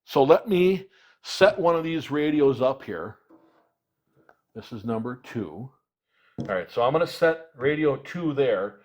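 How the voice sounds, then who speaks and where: Casual man explaining step by step. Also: mid-range voice. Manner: explanatory, plain-spoken, step by step. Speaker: product reviewer, video presenter. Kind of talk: casual hands-on product demonstration.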